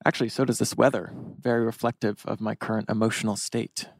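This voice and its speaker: A man's voice: on the low side, flat delivery, quick pace, dry recording, clean studio sound. A man reading the part of a neurotic, wisecracking, lovesick teenage boy in a TV drama table read. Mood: anxious, wry.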